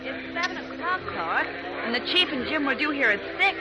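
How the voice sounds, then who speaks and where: A woman playing an impatient young newspaper reporter in a 1940s radio drama, brisk and pointed. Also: high-pitched, lively intonation. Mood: impatient, worried. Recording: vintage radio with busy dining room. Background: dining room crowd.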